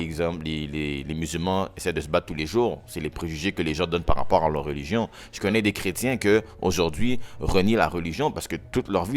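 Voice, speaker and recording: A young man from Quebec with a Quebec French accent, a podcast guest explaining his view and often checking in with his listeners. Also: low-pitched. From Quebec, Canada. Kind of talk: casual chat.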